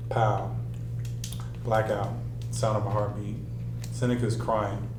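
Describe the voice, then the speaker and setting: A man's voice: lowish voice, flat delivery, takes it slowly, noisy background. A man reading screenplay stage directions as narrator at a table read, in a plain scripted style. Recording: echoey room, distant mic, music behind.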